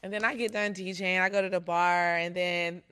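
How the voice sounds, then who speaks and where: A woman with a naturally raspy voice, a DJ and guest, telling stories in a laid-back, casual podcast chat. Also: fairly low pitch, flat delivery, brisk, very noisy. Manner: laid-back storytelling, lots of "like" and "I was like".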